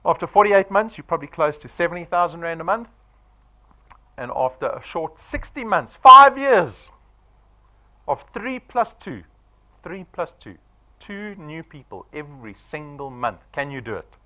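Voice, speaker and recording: Animated South African man presenting. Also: fairly high pitch, a little slow. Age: 40s. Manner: animated, punchy, full of rhetorical questions. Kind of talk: sales presentation to an audience.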